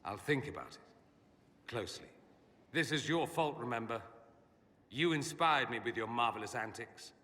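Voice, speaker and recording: A bright, forward male voice as a theatrical mage in a fantasy game. He is wry and polished, an idealist who longs for his homeland. The voice rings with hall-like reverb. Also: medium pitch, lively intonation, moderate pace, long pauses. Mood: teasing. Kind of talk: dramatic game dialogue.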